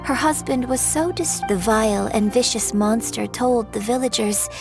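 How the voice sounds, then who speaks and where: A poised young opera singer, voiced by a woman, with a slightly high, clear voice, lays out an old legend in measured, storytelling tones. Faint game music plays softly underneath. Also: natural voice. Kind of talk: video game voice acting.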